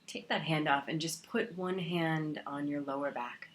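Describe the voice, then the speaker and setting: A woman teaching a guided meditation in a calm, soothing manner, leaving long pauses between phrases. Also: sits low.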